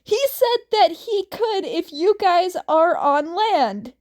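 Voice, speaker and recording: A woman voicing an excitable, playful young man, an eager and loud friend in a fan fiction story. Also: very high-pitched, takes it slowly, pitched above the performer's own voice, heavily acted.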